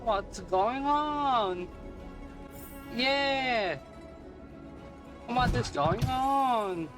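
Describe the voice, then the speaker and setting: Lively male game streamer, slow and slangy. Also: extremely high pitch. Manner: slangy, muttering reactions to the game. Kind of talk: gameplay reaction commentary, casual chat. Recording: game music loud behind voice. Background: loud game music.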